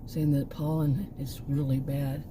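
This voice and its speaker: A woman's voice: rumbling low, little pitch movement, measured pace. An older woman telling a rambling story in a casual vlog, her voice fading and strained as she goes. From Texas. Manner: rambling, self-interrupting, chuckling asides. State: losing her voice. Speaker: vlogger. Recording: loud traffic noise outside.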